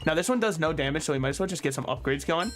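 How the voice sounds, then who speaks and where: A man's voice: fairly high pitch, expressive, very fast, a bit bright, faint music behind. A young man from the United States streaming a video game, rambling and joking at his own expense as he plays. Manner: joking, rambling, self-mocking. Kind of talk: casual gameplay commentary.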